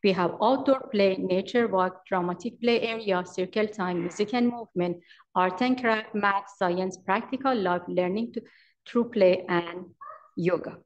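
Woman, 40s, Iranian accent, mid-pitched, halting read-aloud presenter at a podium. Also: dull audio. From Iran. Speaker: daycare owner and manager presenting. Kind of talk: prepared presentation at public meeting. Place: large council chamber. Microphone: podium microphone.

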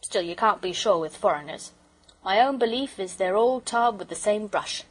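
A woman's voice: medium pitch, lively intonation, moderate pace, slightly rough, rough recording. A woman voicing a proud, sulky working-class English railway porter in a storybook, with colloquial speech and dropped aitches. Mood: opinionated.

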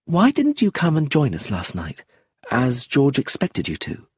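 A man's voice: medium pitch, huge pitch swings, a little slow, a little mellow, breathy edge, voice pushed up, full character voice. A man voicing a young woman, grieving but blunt and determined, who speaks tersely and firmly. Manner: terse, firm, a little raised.

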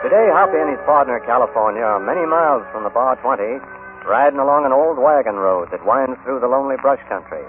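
A muffled old radio broadcast with prominent music behind a male American announcer. He narrates dramatically and declaims each phrase, his fairly high voice rising and falling widely. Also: animated, quick pace. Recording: muffled, narrow vintage radio recording.

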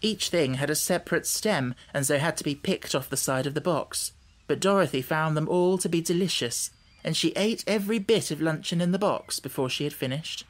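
A man reading scripted storybook narration as an audiobook narrator, measured and carefully articulated. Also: on the high side.

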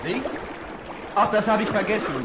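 Man acting a nervous, highish-voiced boatman. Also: steady tone, normal speed, lightly acted. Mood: flustered. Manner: hesitant, defensive. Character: nervous, evasive male smuggler boatman. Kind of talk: radio play acting. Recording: very noisy harbour backdrop.

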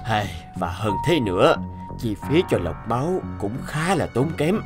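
A man voicing a serious, sympathetic middle-aged doctor in a cartoon dub, speaking in a measured manner. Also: mid-range voice, sing-song, over music. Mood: grave, sympathetic. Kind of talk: dubbed cartoon dialogue.

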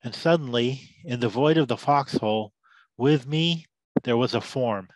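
A man's voice: mid-range voice, unhurried, no room sound, close to an everyday voice, low-quality recording. Man acting a sermonizing, embittered war veteran. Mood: grave, sorely afflicted. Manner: loquacious, sermon-like oratory with biblical cadence. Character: embittered drunken war veteran Big Mike, preaching battlefield tales. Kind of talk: dramatised story, theatrical monologue.

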